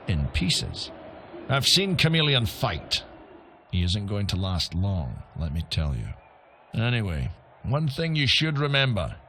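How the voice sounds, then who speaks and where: An actor playing a wry, seasoned arena fighter in a video game, measured and knowing as he hands out advice. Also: fairly low pitch, slight background noise. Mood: wry, ominous. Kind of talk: video game character acting.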